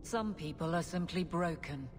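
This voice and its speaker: An actress playing a stern, dutiful guard captain in a video game, firm and blunt in manner. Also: female voice, lowish voice, moderate pace, noisy background, close to an everyday voice. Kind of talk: video game cutscene acting.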